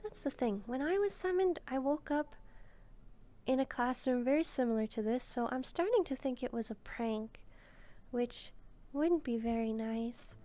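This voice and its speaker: Woman playing a soft, mellow, timid demon maid. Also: sits high, expressive, moderate pace. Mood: musing, gentle. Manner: hesitant, timid, musing aloud. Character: gentle, shy female lesser demon maid. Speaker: roleplay video creator. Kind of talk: soft-spoken first-person roleplay, relaxing.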